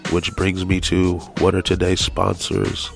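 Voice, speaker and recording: A man with a very low, gravelly and flat voice hosts a casual talk show. He sounds stuffy from allergies and rambles loosely, trailing off into fillers. Faint music plays behind him. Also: a little slow. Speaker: podcast host. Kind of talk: casual chat.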